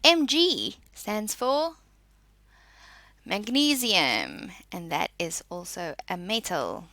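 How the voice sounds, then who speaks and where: A woman teacher with a bright, forceful voice that carries a noticeable creak. Her pitch moves in a lively way as she reads out and explains lesson material in short, deliberate statements. She takes her time, keeping an instructive manner. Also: dry recording. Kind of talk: spoken lesson, reading out and explaining.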